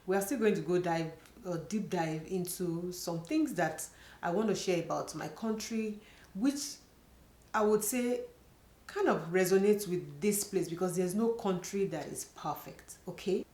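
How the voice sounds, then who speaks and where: Middle-aged Nigerian woman, vlogger, slightly low voice, earnest and chatty storyteller, echoey room. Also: a little slow, darkish tone. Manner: earnest, chatty, frequent "you know" fillers. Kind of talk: personal storytelling to camera.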